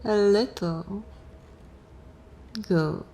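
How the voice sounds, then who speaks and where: A woman voicing a dominant, sensual vampire mistress in an ASMR fantasy roleplay, commanding and savouring, often slipping into soft breathy whispers. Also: medium pitch, very slow. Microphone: close microphone.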